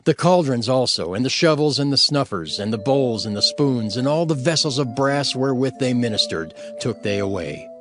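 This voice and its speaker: A man narrating scripture from a script in a measured, solemn manner, phrase by phrase. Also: mid-range voice, expressive, music in the background.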